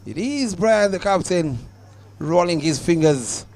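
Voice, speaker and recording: A young Ugandan man giving live cricket commentary, calm and measured, lifting his voice on the big moments. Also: high-pitched.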